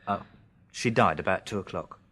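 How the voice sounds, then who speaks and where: A man's voice: low-pitched, normal speed, a bit bright, breathy edge. An actor playing a cheerful, blinded working-class Englishman in a radio drama, chatty and wry, with colloquial turns and morbid jokes. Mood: matter-of-fact.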